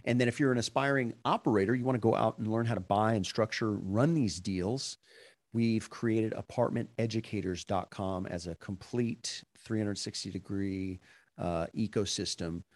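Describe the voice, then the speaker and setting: A man with a lowish voice hosts a podcast interview in a relaxed, conversational way, often pausing with fillers and restarting his phrases. The sound is dry and close, with no room around it. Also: no room sound. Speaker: podcast host. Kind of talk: casual interview chat.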